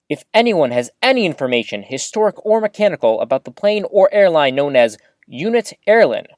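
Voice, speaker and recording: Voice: mid-range voice, no room sound, close to an everyday voice. An actor playing a rambling, easily flustered small-town radio host giving a live broadcast in an audio drama, awkward and chatty. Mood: reporting. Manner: awkward, animated, throat-clearing, chatty.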